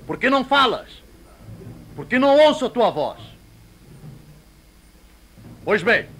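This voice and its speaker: Haughty, mocking king, high-voiced. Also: fairly bright tone, a little hiss or hum, full character voice, poor audio. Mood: taunting. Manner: theatrical, sardonic. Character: mocking, haughty Judean king toying with a prisoner. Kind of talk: biblical audio drama acting.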